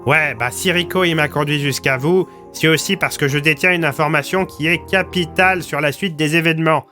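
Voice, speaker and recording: A man's voice: on the high side, a little fast, bright, forceful tone, soft background music, pitched above the performer's own voice. A man playing a blunt, slangy small-town police commissioner recounting his survival in an audio story. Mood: blunt, matter-of-fact.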